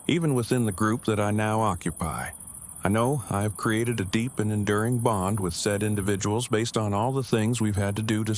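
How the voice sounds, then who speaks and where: An awkward, earnest man surviving the apocalypse played by a male actor speaks in a lowish voice. His phrasing is stiff, formal and long-winded. Clear night-time noise with crickets sits behind him in this audio drama. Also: close to an everyday voice. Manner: formal, wordy, stilted phrasing.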